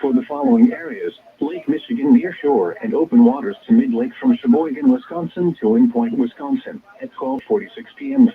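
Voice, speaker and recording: A synthetic American male announcer voice comes through a dull, lo-fi weather radio. He reads scripted bulletins formally and impersonally in segmented phrases, with a pitch that swings noticeably up and down. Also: huge pitch swings, a little fast, creaky, few pauses, a crowd in the background. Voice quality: synthetic text-to-speech voice. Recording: dull, band-limited weather radio broadcast.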